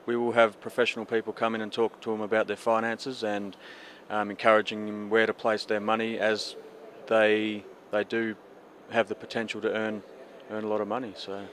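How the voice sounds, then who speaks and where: A man who mentors young jockeys answers in a low, flat voice, stopping and restarting often. Faint background noise sits under him. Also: normal speed. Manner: hesitant, many fillers and restarts. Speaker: apprentice jockey trainer. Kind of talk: interview answers.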